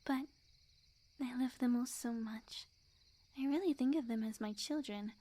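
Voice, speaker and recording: A woman playing a sweet, obsessive witch girlfriend in an ASMR roleplay, speaking softly and breathily in a coy, unhurried, often whispered voice. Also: on the high side. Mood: pleased, chatty.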